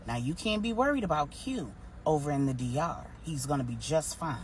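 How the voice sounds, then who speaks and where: Older matriarch for an audio story, played by a female storyteller: proud, firm, folksy, low-set voice. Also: expressive, noisy background. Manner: blunt, folksy, measured.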